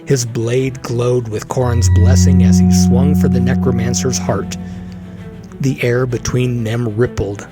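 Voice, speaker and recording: Male storyteller, medium pitch, unhurried, solemn, scripted fantasy audio drama, loud music underneath. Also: level tone. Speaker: narrator.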